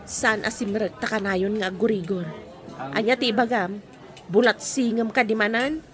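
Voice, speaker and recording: A woman's voice: medium pitch, steady tone, normal speed, faint music behind, a faint crowd, lightly acted. A woman acting a concerned aunt who gives frank counsel in an Ilocano audio drama. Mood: firm. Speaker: actor in a drama.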